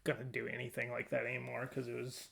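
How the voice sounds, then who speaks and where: A man's voice: medium pitch, completely flat delivery, fast talker, creaky, noisy background. A man chatting casually as a podcast co-host about video games. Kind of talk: casual chat.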